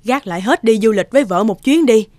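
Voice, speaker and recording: A woman's voice: a little high, expressive, fairly quick, a little mellow, no echo, lightly acted. Firm elderly cartoon mother, acted by a woman. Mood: insistent. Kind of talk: animated moral tale dubbing.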